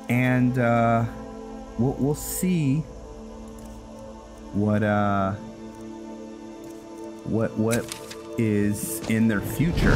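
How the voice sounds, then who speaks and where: A man giving casual gameplay commentary, rambling and hesitant as he thinks aloud. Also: lowish voice. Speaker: gaming video host; roleplay story writer. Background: music.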